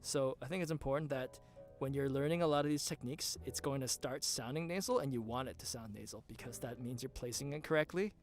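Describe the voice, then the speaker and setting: A man's voice: medium pitch, fast talker, hardly any pauses, faint music behind. A man co-hosting a livestream singing lesson. He talks casually, passes on viewer questions and shares his own tips. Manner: casual, reads out viewer questions, eager reactions. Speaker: co-host.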